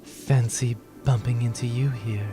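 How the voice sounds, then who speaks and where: A woman's voice: extremely low pitch, fairly even intonation, unhurried, warm tone, faint music behind. A woman playing a cool, sardonic gunslinging infiltrator in a comic sci-fi audio drama, speaking precisely and with composure. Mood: coolly teasing. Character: cool, teasing female gunslinging space infiltrator.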